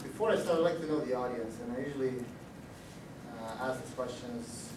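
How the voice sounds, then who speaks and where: A man with a Romanian accent giving a technical conference talk, halting with many fillers and restarts. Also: medium pitch. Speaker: conference speaker. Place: large echoey conference room. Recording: echoey room audio, distant mic, background noise.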